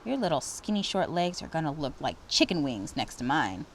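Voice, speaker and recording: A woman voicing a proud young girl dancer in a storybook, sassy, bold and quick to retort. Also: low voice, very animated, slight background noise. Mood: defiant.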